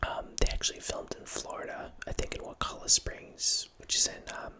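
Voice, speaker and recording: A man in his thirties, an ASMR host, whispering in a chatty, rambling way close to a studio mic in a room at home. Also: normal speed. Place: home room with bookshelves, indoors. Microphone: large studio mic close up, wearing headphones.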